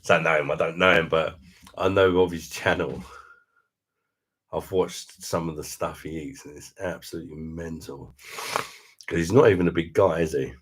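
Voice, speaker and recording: A middle-aged man talks in a very deep, low register. He hosts a relaxed livestream, chatting with viewers in a casual, good-humoured way, laughing often and swearing freely. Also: normal speed, long pauses, husky. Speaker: beer review livestream host. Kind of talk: casual live chat reading viewer comments.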